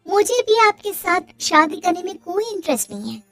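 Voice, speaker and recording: A woman's voice: very high voice, sing-song, normal speed, a bit bright, dry recording, studio quality, faint music behind, heavily acted. A woman playing a young unmarried schoolteacher daughter, defensive but firm, in a cartoon drama. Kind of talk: Hindi cartoon dialogue.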